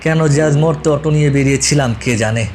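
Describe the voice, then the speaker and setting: A man's voice: on the high side, fairly quick, a little mellow, a little hiss or hum, voice pushed up, natural voice. A man playing a blunt, easily frightened auto-rickshaw driver in a cartoon ghost story. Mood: uneasy.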